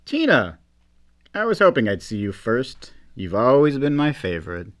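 A man's voice: mid-range voice, huge pitch swings, moderate pace, soft background music. A man playing an affectionate, playful simulated astronaut father in a science fiction audio drama. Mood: playful, delighted.

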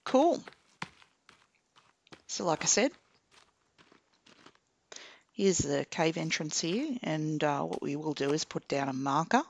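A man's voice: a little high, takes it slowly, gravelly, long pauses. A man casually commentating a survival game, thinking aloud as he plays. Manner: relaxed, chatty, thinking aloud while playing. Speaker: gaming commentator. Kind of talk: casual let's play commentary.

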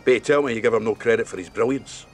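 A man's voice: mid-range voice, quick pace, slight background noise, close to an everyday voice. An actor playing a suspicious, combative old Scottish father with a blunt, scolding manner in a radio drama.

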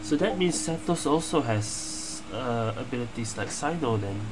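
A man's voice: mid-range voice, animated, normal speed, very noisy. A man giving casual commentary as he plays a video game. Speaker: gamer commenting on playthrough. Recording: voice over loud game music.

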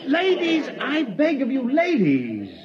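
An actor playing a fussy, officious but weak-willed ship's captain, hesitant and pleading, in 1950s radio drama style. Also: male voice, very high-pitched, huge pitch swings, slow pace, very noisy, full character voice. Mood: flustered, pleading. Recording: dull 1950s radio recording.

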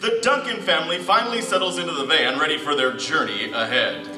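A man's voice: a little high, a little fast, distant mic. A man narrating a radio play in a dramatic scripted style. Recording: echoey stage from afar, music behind.

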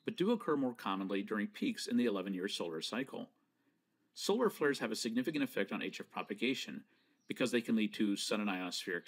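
A man giving a scripted slideshow lecture, explaining each point in a measured, precise way. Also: fairly low pitch. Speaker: presenter.

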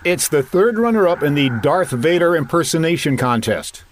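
A man's voice: on the high side, very animated, moderate pace, a little hiss or hum. A man doing dry, sarcastic wisecracks as comedy commentary on an old radio drama.

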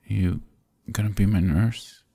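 A man plays a young boyfriend who is sick, stubborn and loving, in an intimate ASMR roleplay. His very low voice is mellow and full of fry, murmured drowsily and softly, as if to a partner right beside him. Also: steady tone, measured pace, no echo. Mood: reluctant, reassuring. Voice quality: husky, gravelly, breathy. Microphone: close, dry microphone.

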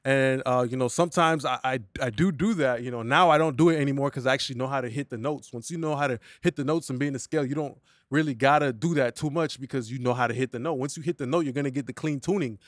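A man's voice: medium pitch, fast, dry recording. Slangy young man explaining studio mixing. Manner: loose, slangy, rambling explanations. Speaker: mixing engineer and music teacher. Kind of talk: casual tutorial talk. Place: home studio. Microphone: studio condenser microphone.

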